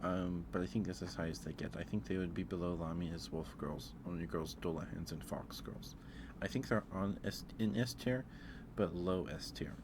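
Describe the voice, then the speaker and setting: A man with a low-pitched voice hosts a casual ASMR stream. He speaks softly and hesitantly, rambling as he thinks aloud. There is clear background noise on the recording. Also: steady tone, moderate pace. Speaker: livestream host. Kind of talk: casual ASMR livestream chat, ranking aloud.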